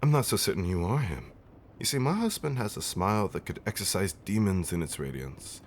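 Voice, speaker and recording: A man playing a centuries-old vampire husband in an intimate comfort roleplay, tender and theatrically fussy, with genteel, old-fashioned wording and dramatic pauses. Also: sits low, sing-song, slight background noise. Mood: playful affection. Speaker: audio roleplay performer.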